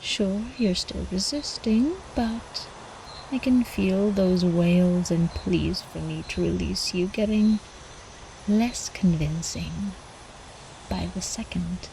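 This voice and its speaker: A woman voicing an ancient, seductive vampire countess in an intimate ASMR roleplay, teasing and cooing with quiet menace, often slipping into whispers. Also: on the low side, takes it slowly, creaky, slight background noise, poor audio. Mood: calm, predatory.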